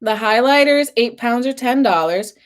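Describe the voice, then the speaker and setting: Husky, hoarse woman vlogger. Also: fairly high pitch, lively intonation, moderate pace. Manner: chatty, frequent throat clearing and fillers. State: hoarse, losing her voice. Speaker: beauty vlogger. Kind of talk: casual makeup review chat.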